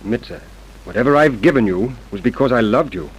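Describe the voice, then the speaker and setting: A man's voice: medium pitch, lively intonation, normal speed, husky, poor audio. A man acting an intense, jealous suitor in a vintage radio drama, emphatic and melodramatic. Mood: hurt, insistent. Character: intense, jealous male suitor or brazen criminal.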